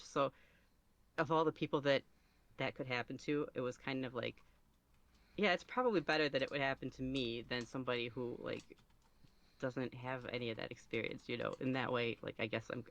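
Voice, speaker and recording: A man's voice: medium pitch, steady tone, a little fast, fairly bright tone. A man speaking casually as an interview guest, rambling and somewhat self-conscious as he goes. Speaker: podcast guest, ASMR roleplay creator.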